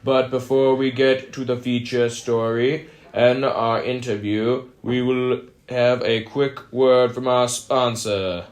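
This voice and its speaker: A young man co-hosting an amateur mock 1920s radio broadcast, reading scripted news in a measured, stiff, formal way. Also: medium pitch, steady tone.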